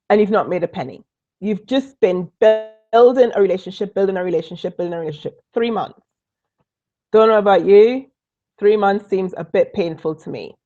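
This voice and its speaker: A woman from Trinidad with a Trinidadian accent mixed with British tones, giving a lively live online business training and repeating key points for emphasis. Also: medium pitch, highs cut off. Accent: Trinidadian, mixed with British and Northern Irish. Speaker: business coach.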